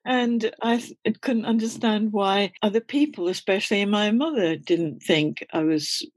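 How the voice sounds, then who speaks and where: A woman's voice: mid-range voice, measured pace, dry recording. An older woman from Scotland, answering interview questions in a candid, reflective way and laughing often as she talks. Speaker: guest.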